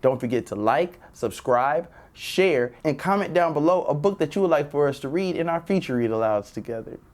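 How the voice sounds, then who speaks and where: A man hosting a children's read-aloud, addressing young viewers directly with drawn-out emphasis. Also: on the high side, lively intonation, darkish tone. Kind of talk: presenting and reading a children's picture book.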